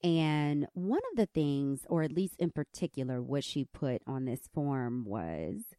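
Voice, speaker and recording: A woman in her thirties hosts her own podcast with a country accent and a squeaky edge, her low, mellow voice swinging widely in pitch. She tells a personal story candidly, laughing now and then. The recording is dry and close. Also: expressive, unhurried, no room sound. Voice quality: squeaky, high voice. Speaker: podcast host. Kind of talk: personal reflective podcast monologue.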